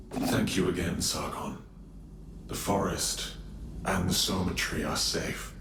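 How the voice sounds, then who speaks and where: Deep, echoing forest guardian. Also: male voice. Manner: solemn, measured, formal. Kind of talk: video game voice acting. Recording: echoing voice under clear music.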